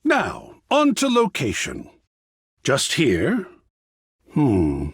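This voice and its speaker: A man's voice: medium pitch, huge pitch swings, very slow, husky, dry recording, studio quality, heavily acted. An actor playing an effusive, sensitive male art connoisseur in a video game, speaking in a florid, theatrical, musing way. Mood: polite, eager. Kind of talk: scripted game character dialogue.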